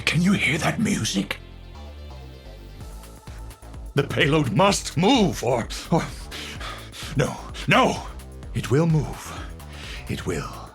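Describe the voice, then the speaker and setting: Mad dutch scientist from a video game, slow academic delivery, super swoopy pitch, kindly but tormented, music behind. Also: male voice, huge pitch swings, full character voice. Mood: troubled, intense. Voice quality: authoritative, measured. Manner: academic, deliberate, shifting from gentle to intense. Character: Sigma, brilliant tormented scientist, kindly authoritative, unstable, given to bad jokes.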